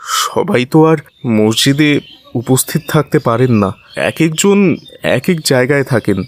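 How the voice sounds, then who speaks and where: A man's voice: mid-range voice, expressive, unhurried, dry recording. A man playing a devout, wronged imam in a cartoon, pleading and reasoning politely but firmly. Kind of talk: dubbed cartoon dialogue.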